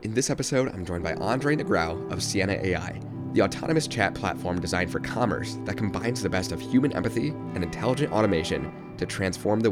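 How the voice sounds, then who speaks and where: A male podcast host with a very low, deep voice. He speaks quickly and briskly, moving through his questions and dropping short affirmations as his guest talks. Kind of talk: podcast interview.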